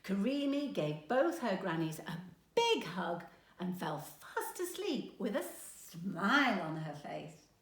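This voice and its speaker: A woman's voice: medium pitch, reverberant. A woman narrating a children's storybook aloud as a storyteller. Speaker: storyteller, grandmother.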